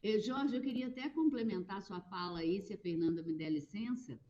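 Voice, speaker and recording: A woman radio programmer speaking as a guest in an interview, explaining thoughtfully with pauses and restarts. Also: mid-range voice, mellow, lo-fi sound. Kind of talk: conversational interview.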